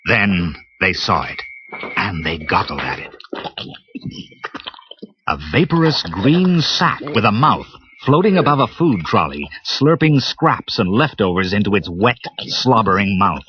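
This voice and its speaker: Lively, low-voiced storybook narrator, a man. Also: a little slow, a crowd in the background. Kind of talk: read-along storybook narration. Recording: muffled cassette with music bed.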